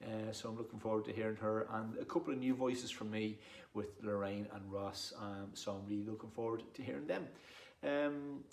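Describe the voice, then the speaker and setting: Low-voiced Dublin man in an echoey room. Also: fairly even intonation, moderate pace, hardly any pauses, a little mellow. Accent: Irish, Dublin. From Dublin, Ireland. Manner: self-deprecating, chatty. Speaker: poet and performer. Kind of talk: casual rambling introduction to camera. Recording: roomy echo with clear background noise.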